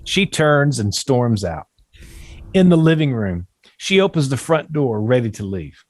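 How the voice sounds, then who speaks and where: A man's voice: mid-range voice, sing-song, a little slow, a little mellow, no echo, studio quality. A man reading stage directions as scripted narration for a screenplay table read.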